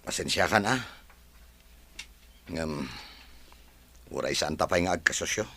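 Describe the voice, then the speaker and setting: A man voicing a calm, decisive male farm owner with an Ilocano accent, formal in manner. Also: very deep voice, measured pace, creaky, full character voice. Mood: measured.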